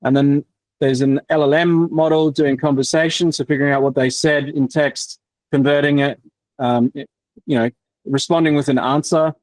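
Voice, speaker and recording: Man explaining tech on a call, halting. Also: on the high side, fairly even intonation, a little mellow, dry recording, rough recording. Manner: halting, many fillers and restarts. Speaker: workshop presenter. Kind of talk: casual technical explanation.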